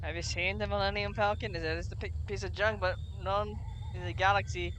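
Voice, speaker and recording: A game streamer giving casual, off-the-cuff commentary in a halting, self-correcting way. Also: mid-range voice, steady tone, fairly bright tone, slight background noise, poor audio.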